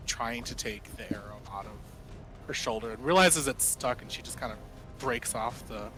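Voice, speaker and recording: A man's voice: mid-range voice, moderate pace, sharp tone, soft background music. A man playing a tabletop roleplaying game, casually describing what his character does.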